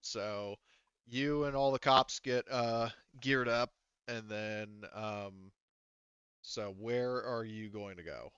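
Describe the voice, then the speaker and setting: A man with a fairly low, smooth voice runs a tabletop roleplaying game as game master. He thinks out loud in a halting, casual way. The audio is dry and slightly dull. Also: no room sound. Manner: halting, thinking aloud while running the game.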